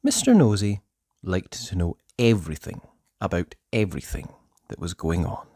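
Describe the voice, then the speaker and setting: A storyteller reading a children's book aloud in a theatrical, deliberate way with drawn-out emphasis. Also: low voice, big pitch swings, mellow, breathy edge, no echo, studio quality. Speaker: storybook narrator. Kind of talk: expressive children's read-aloud narration.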